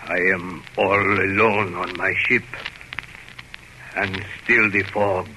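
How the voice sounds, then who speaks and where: A man's voice: sits low, bright, forceful tone, exaggerated voice. An actor playing a grave, doomed ship's captain keeping his log, with solemn, dramatic 1930s radio delivery. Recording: noisy old radio recording.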